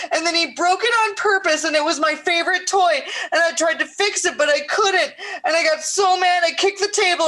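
Woman as a high-voiced wailing little boy. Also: sharp tone, rough recording. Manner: breathless run-on wailing. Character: crying little boy, aggrieved, pouring out his woes. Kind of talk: picture book read-aloud.

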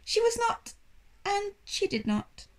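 A woman's voice: very high voice, sing-song, takes it slowly, pitched above the performer's own voice, exaggerated voice. A woman voicing a sneering, dismissive French male shop assistant in a storybook reading, with a haughty, disdainful manner.

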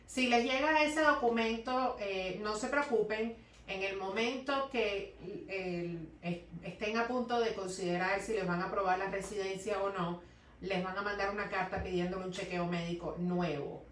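A woman's voice: medium pitch, steady tone, moderate pace, a bit bright, slight background noise. Woman, 30s, Cuban accent, explains and reads questions aloud; echoey room, sitting back from the webcam. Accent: Cuban Spanish. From Cuba. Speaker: immigration lawyer. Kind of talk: live Q&A, answering viewer questions. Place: law office, indoors. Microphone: webcam at desk distance. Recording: echoey office, voice a bit far from mic.

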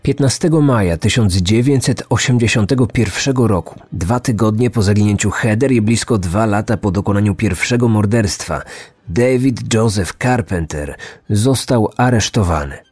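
A man's voice: fairly low pitch, moderate pace, darkish tone, husky, no echo. A man delivering scripted true crime documentary narration as a podcast narrator.

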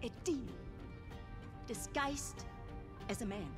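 A woman's voice: a little high, expressive, takes it slowly, over music. An actress playing an older female general, a self-righteous villain who speaks in a grandiose, menacing, preachy way. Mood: smug.

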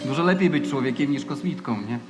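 A man's voice: mid-range voice, fast talker, natural voice. A man acting a meek, naive young space cadet in an audio drama, stammering and earnest. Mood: awkward, friendly. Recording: loud music under the voice.

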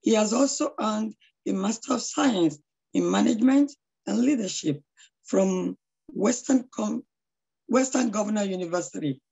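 A middle-aged woman with a hoarse voice, introducing a speaker on a video call with effusive praise and frequent prayers. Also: mid-range voice, expressive, normal speed, dark tone, poor audio. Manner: devout, effusive, praying often. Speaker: charity founder introducing a speaker. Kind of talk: online lecture introduction. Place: small room at home. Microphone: laptop webcam on a video call.

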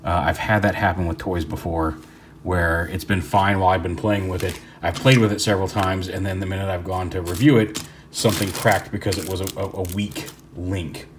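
A man's voice: sits low, fairly even intonation, fairly quick, noisy background. A man talking casually through a hands-on toy review, rambling with fillers and restarts, recorded indoors at a tabletop.

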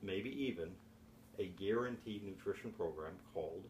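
A man in his sixties giving an informal sales presentation to camera in a deliberate, questioning manner. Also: sits low, darkish tone. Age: 60s. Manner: deliberate, with repeated rhetorical questions. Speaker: presenter pitching home health products. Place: home office, indoors. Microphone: camera mic from across the room. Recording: echoey, distant camera mic with background hiss.

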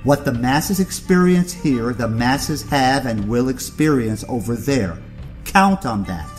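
An actor playing a ruthless, contemptuous aristocratic uncle in an audio drama, speaking in a theatrical, condescending way. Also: male voice, mid-range voice, animated, measured pace, soft background music, natural voice. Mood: cold, dismissive.

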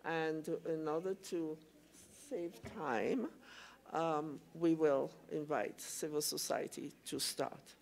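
Middle-aged woman, creaky voice, unhurried, hesitant, formal procedural remarks, hearing chair. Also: deep voice, darkish tone. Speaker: commissioner chairing a public hearing. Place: conference hall hearing room. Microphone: gooseneck table microphone.

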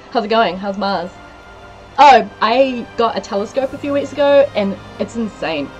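A woman's voice: a little high, expressive, unhurried, lo-fi sound, faint music behind. Chatty Kiwi woman on a game stream. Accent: New Zealand. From New Zealand. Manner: chatty, giggly, lists place names from chat. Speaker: livestreamer. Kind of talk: casual game-stream chat, reading out viewer comments. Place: small room indoors. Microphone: headset.

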